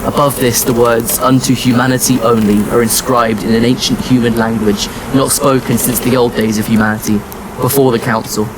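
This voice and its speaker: A man's voice: medium pitch, little pitch movement, moderate pace, lightly acted. Man acting as somber wanderer narrating, noisy ambience. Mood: somber, reflective. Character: somber, determined male wanderer in science fiction. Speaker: narrator and lead character in an audio drama. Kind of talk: first-person scripted narration.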